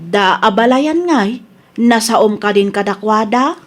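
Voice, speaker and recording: A woman's voice: on the high side, animated, unhurried. A woman with an Ilocano accent playing a concerned, caring older mother in a radio drama. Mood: gently questioning.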